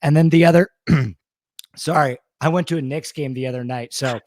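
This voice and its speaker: A man with a hoarse, mellow voice talks as a guest, rolling eagerly through stats and picks. His sound is dry and close, with no room around it. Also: on the high side, moderate pace, dry recording. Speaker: guest sports betting analyst. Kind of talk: casual interview chat.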